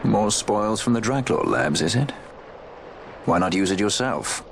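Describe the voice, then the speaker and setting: An actor playing a suave, sceptical airship rogue in a video game, speaking in a dry, sardonic, urbane way. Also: male voice, on the low side, sing-song, a little slow, creaky, noisy background, full character voice. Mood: cool, sceptical. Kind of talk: dramatic video game cutscene acting. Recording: game score behind dialogue.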